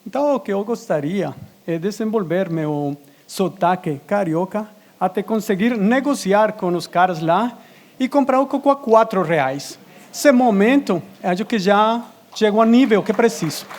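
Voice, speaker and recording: A man giving a conference presentation in a language that is not his own, talking haltingly with frequent restarts and fillers. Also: high-pitched, expressive, a little mellow, a faint crowd. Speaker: conference speaker. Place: conference stage.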